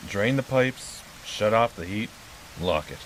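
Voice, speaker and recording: An actor playing a wary, stoic caretaker of a haunted house in an audio horror drama, speaking in terse, guarded, unhurried phrases. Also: male voice, lowish voice, animated, a little hiss or hum, poor audio. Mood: ominous.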